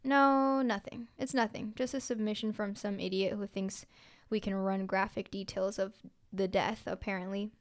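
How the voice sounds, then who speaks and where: A woman's voice: mid-range voice, steady tone, normal speed, few pauses, natural voice. A woman voicing a female newspaper obituary clerk in a story, hesitant and placating. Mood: dismissive.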